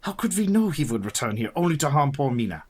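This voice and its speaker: An actor playing a grave, kindly professor and vampire hunter in a radio play, speaking in formal, earnest, courtly phrases. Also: male voice, fairly high pitch, sing-song, fast talker, breathy edge. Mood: explaining. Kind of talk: radio play dramatic acting.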